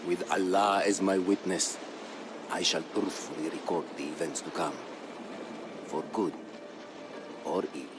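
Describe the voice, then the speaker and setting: An actor playing a proud, solemn Berber chronicler, narrating a video game campaign in a grave, measured, formal manner. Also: male voice, sits low, steady tone, background noise. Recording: studio voice-over with music behind.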